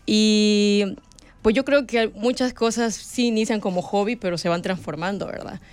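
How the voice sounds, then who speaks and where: A woman's voice: mid-range voice, normal speed, darkish tone, clean tone, no echo. A woman from El Salvador with a Salvadoran accent, chatting casually and with a giggly manner as a guest singer in an interview. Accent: Salvadoran Spanish.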